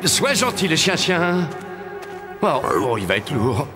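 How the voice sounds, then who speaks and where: An actor playing a hungry young soldier, wary but good-natured, in an animated fairy tale, with expressive exclamations. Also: male voice, fairly high pitch, slow pace, over loud music. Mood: amazed, excited.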